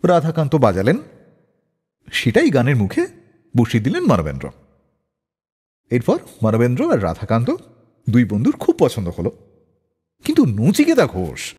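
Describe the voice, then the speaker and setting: A man's voice: mid-range voice, wildly swooping pitch, moderate pace, pauses often, a little mellow, slightly rough. A man hosting a music radio show, narrating scripted stories in a dramatic storyteller's manner. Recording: long reverb, music behind the voice. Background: background music.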